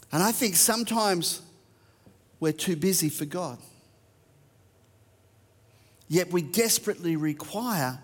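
A man's voice: on the high side, long reverb tail. An Australian man preaching a sermon, reading verses and talking conversationally to a church. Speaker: pastor.

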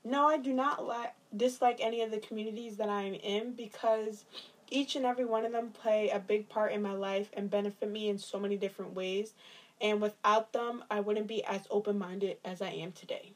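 A woman's voice: mid-range voice, steady tone, fairly quick. Young woman, mid-range voice, thoughtful and a little hesitant. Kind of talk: interview answers.

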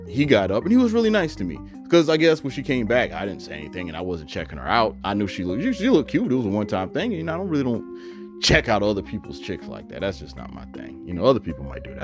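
A man vlogging, telling a rambling personal story full of asides and fillers in a voice he calls bassy. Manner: animated, digressive, many fillers and asides; self-described bassy voice.

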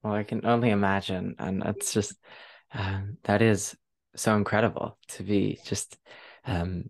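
A woman hosting a casual podcast interview, enthusiastic, with a halting delivery full of ums. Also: very deep voice, steady tone, darkish tone. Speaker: podcast host.

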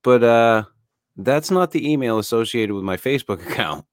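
A man's voice: mid-range voice, animated, moderate pace, no room sound, studio quality. A man co-hosting a podcast in loose, laid-back chat, swearing freely and filling gaps with "uh". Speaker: podcast co-host.

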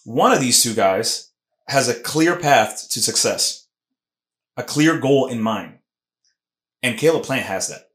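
Male podcast host, emphatic and animated, middle pitch, roomy echo. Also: takes it slowly, pauses often. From Puerto Rican heritage. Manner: animated, emphatic, lots of restarts. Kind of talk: casual combat sports podcast chat. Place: Philadelphia podcast studio room.

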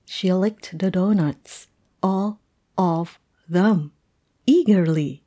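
Woman voicing a playful female teacher, mellow tone, unhurried, animated conversational storytelling, audio roleplay. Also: on the low side. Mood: playful, amused. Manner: animated, amused, punctuated with chuckles. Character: playful, chatty female teacher telling a colleague a lunchtime story.

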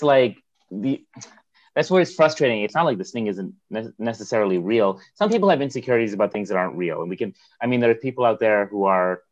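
A man's voice: fairly low pitch, highs cut off. A man in a casual conversation with an old friend, talking things through in a reflective way with restarts and chuckles. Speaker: conversation partner, longtime friend. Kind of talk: casual chat.

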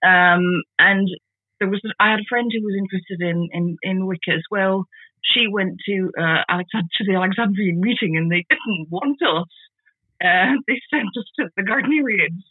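A woman in her forties from the Netherlands, with a Dutch accent, talking casually as an interview guest, halting and often repeating words as she restarts. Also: medium pitch, breathy edge, no echo. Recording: tinny phone-like call audio.

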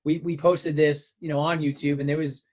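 A man's voice: fairly high pitch, little pitch movement, normal speed, darkish tone. A man hosting a podcast, asking questions in an easygoing way with many fillers and restarts. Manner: easygoing, hesitant, lots of fillers. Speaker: podcast host. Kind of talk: casual interview.

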